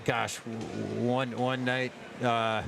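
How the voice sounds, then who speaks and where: An American man hosting a livestream from a sports event in a casual, halting way, pausing often mid-sentence. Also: mid-range voice, little pitch movement. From United States. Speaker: livestream host at an ice hockey tournament. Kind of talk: casual live chat. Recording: clear urban ambient noise, live on location.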